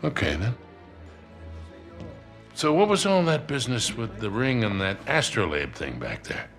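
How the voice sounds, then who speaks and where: A middle-aged American fixer in a cinematic video game. His voice is low and swings expressively up and down. He is gruff and folksy, with a wry, unhurried way of needling. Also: very animated, fairly bright tone, faint music behind, exaggerated voice. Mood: curious, bantering. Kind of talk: naturalistic video game acting.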